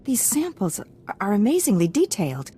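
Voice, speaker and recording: A woman's voice: medium pitch, wildly swooping pitch, brisk, mellow, a little hiss or hum. A woman playing an earnest scientist in a video game, speaking in a formal way. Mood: amazed. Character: earnest female scientist, preserver of species.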